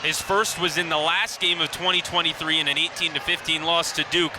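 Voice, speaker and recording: Over clear background noise from an outdoor venue, a man in his twenties calls a game live for radio. His voice sits high for a man. He delivers the action in short, descriptive phrases, lifting with excitement when a play is big. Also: a little fast. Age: 20s. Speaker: play-by-play commentator. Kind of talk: live radio sports commentary. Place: outdoor lacrosse stadium. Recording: noisy outdoor broadcast with stadium ambience.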